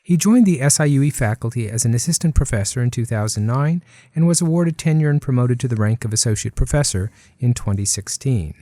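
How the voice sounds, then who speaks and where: Mellow-voiced man hosting an interview. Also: medium pitch, animated, fairly quick, dry recording. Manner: polished, scripted introductions. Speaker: host, college dean.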